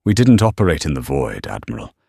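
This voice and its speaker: A gruff, hard-bitten starship captain, played by a man, barking out his lines. His voice is low, lively in pitch and dry. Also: fairly quick, no echo, exaggerated voice. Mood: satisfied. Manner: gruff, roaring commands.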